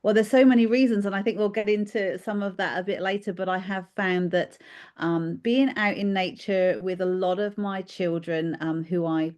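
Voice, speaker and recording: A woman's voice: medium pitch, fairly even intonation, normal speed. A British-born woman, a children's therapist, talking in a podcast interview and telling her story with many "um"s and a reflective manner. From United Kingdom. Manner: warm reflective storytelling with frequent fillers. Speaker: guest therapist.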